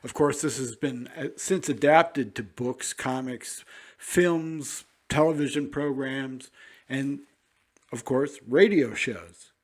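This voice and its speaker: A man's voice: medium pitch, slow pace. A man presenting a radio programme with scripted announcements.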